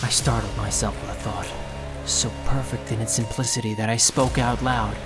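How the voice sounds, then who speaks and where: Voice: medium pitch, steady tone, normal speed, over loud music, lightly acted. An actor playing an anxious, self-doubting, idealistic young thief who narrates their own story earnestly and intensely in an audio drama. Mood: grieving, bitter.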